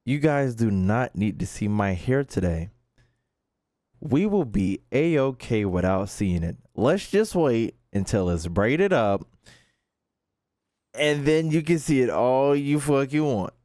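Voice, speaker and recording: A man's voice: medium pitch, expressive, takes it slowly, darkish tone, no room sound. A man doing casual live stream commentary on a game, slangy, sweary and joking, close on the mic.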